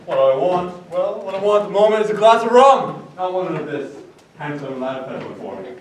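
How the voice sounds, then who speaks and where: An actor playing a sly, menacing pirate who taunts and mocks. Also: male voice, high-pitched, very animated, fairly quick, crowd noise. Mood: taunting. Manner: taunting, mocking. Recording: echoey room, far mic.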